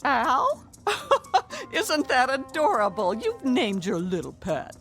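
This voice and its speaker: A scornful wife, needles and mocks in a fairly high voice that swoops with sarcasm. The delivery is cutting radio drama, with music clearly playing behind it. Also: female voice, sing-song, slow pace, a bit bright, full character voice. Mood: mocking.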